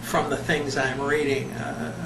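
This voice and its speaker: Slow, thoughtful older man in an echoey room. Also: a little high, little pitch movement, vocal fry. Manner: slow, thoughtful, with many pauses and fillers. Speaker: retired behavior psychologist, volunteer. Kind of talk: interview answers. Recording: echoey room, mic at a distance, background noise.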